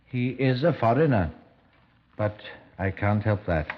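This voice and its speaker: Pompous doctor on muffled old radio. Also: male voice, fairly low pitch, expressive, measured pace. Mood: indignant, solemn. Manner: formal, hesitant, portentous phrasing. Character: pompous, deliberate Welsh country doctor fond of beer. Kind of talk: 1950s radio drama acting. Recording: dull old 1950s radio recording.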